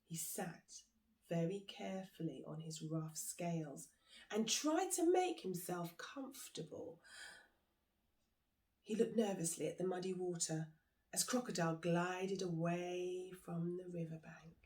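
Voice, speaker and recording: Expressive woman storyteller, very lively pitch. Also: unhurried. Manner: dramatic, engaging the listener directly. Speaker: author and storyteller. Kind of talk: expressive children's story reading.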